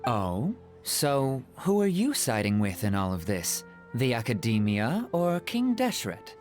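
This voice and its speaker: A man's voice: mid-range voice, huge pitch swings, a little slow, a little mellow, soft background music. A man playing an evasive, needling friend in an anime video game, with a probing, theatrical delivery. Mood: taunting. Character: evasive male friend turned needling believer.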